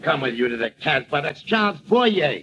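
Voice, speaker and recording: An actor playing an eager, devoted male sidekick in an old-time radio comedy, excitable, slangy and coaxing. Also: fairly high pitch, moderate pace. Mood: coaxing, puzzled. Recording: dull, hissy vintage radio recording.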